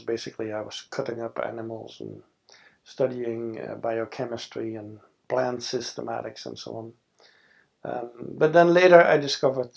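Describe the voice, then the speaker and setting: Gravelly Dutch-accented older man. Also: mid-range voice, darkish tone. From Netherlands. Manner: thoughtful, frequent restarts and fillers. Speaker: interviewee, scientist. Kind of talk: oral history interview. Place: studio with a backdrop. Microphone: lapel mic.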